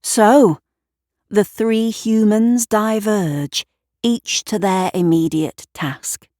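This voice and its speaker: A woman narrating a scripted audio drama in a measured, deliberate storytelling manner. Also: mid-range voice, fairly even intonation, mellow, dry recording, clean studio sound. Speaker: writer and narrator.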